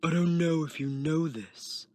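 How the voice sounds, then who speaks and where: A man's voice: unhurried, dark tone, no room sound, studio quality, natural voice. A man reading a story as a smug, uncaring prison guard with a sarcastic, dismissive manner. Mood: taunting. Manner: sarcastic, dismissive, low growled delivery.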